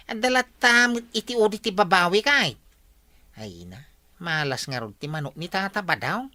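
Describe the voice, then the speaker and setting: Woman acting a bright, lively Ilocano villager. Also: medium pitch, measured pace, no room sound. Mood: teasing. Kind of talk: Ilocano radio comedy drama acting.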